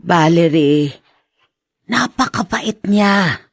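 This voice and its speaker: A woman's voice: fairly low pitch, animated, drawn-out words, no echo, studio quality, full character voice. An actress playing a patient older mother, earnest and admonishing, in a radio drama. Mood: earnest, pleading. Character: patient older mother counseling her daughter.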